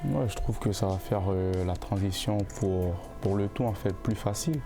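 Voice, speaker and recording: A young male football player answering interview questions in a casual, halting way, often repeating words while he looks for the phrasing. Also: fairly low pitch, over music. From born in the United States, raised in Canada. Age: young adult.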